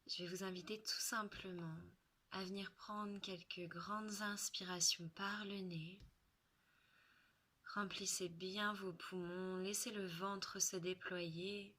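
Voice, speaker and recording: A woman leading a guided relaxation in French, soothing and unhurried, with soft, breathy phrase endings and long pauses. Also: lowish voice.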